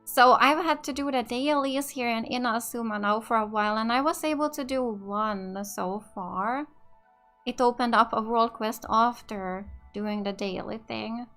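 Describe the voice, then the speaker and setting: A woman's voice: on the high side, unhurried, a bit bright. A woman from Finland with a Finnish accent giving casual, slightly hesitant let's play commentary as a gaming YouTuber. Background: game music.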